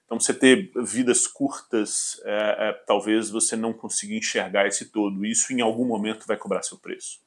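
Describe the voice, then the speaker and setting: Forties male executive, low voice, measured and hesitant interview answers. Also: steady tone. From southern Brazil. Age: 40s. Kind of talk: business interview.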